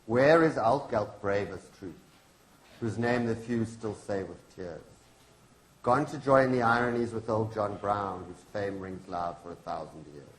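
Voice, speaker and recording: Echoey room, low-pitched, man reading as bereaved defiant widow, staged drama, solemn, accusing, rhetorical. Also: unhurried, close to an everyday voice. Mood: challenging. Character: grieving, defiant widow of a hanged labor activist. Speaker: professor reading a play. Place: indoor launch event venue.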